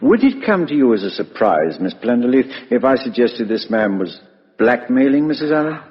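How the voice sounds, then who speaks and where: An actor playing a brisk, blunt British police inspector in a 1950s radio drama. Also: male voice, mid-range voice, big pitch swings, lightly acted. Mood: brisk, probing. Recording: muffled vintage radio broadcast, reverberant studio.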